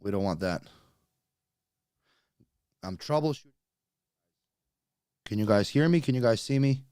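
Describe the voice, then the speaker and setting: A man's voice: mid-range voice, expressive, a little slow, warm tone, clean tone, no echo, clean studio sound. A man in his late thirties, born in Russia with Russian as his first language, with a slight Russian accent, chatting casually with viewers on a livestream as he sorts out technical problems. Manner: chatty, talks straight to viewers while fixing tech. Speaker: YouTube livestream host.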